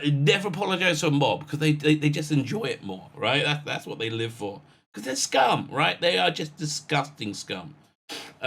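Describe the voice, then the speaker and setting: Male channel host, raspy from illness, bright tone, halting delivery full of fillers. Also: fairly high pitch. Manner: halting, with many fillers and restarts, tags lines with "right?"; blunt and scornful. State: sick with a raspy voice. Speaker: online commentary channel host. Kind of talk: casual spoken commentary to camera.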